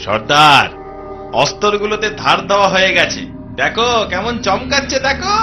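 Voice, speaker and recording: A man playing an eager, bloodthirsty bandit henchman in a cartoon, boastful and excitable. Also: high-pitched, moderate pace, music in the background. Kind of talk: cartoon voice acting in Bengali.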